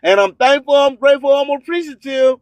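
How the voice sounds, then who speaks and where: A man's voice: very high voice, brisk, dry recording. A man hosting a radio show, delivering promotional patter and preachy slogans, repeating phrases for emphasis. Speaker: radio host.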